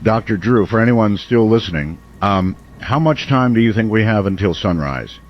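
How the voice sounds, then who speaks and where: A man plays a small-town radio host broadcasting through disaster. His voice is fairly low, earnest and concerned, in a slightly dull radio-drama recording with rain behind. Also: expressive, moderate pace, soft background music, lightly acted. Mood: worried, sympathetic.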